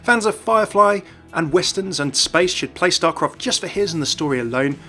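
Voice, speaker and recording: A man's voice: on the high side, expressive, moderate pace, bright, forceful tone, soft background music. A man hosting a gaming video with enthusiastic scripted narration.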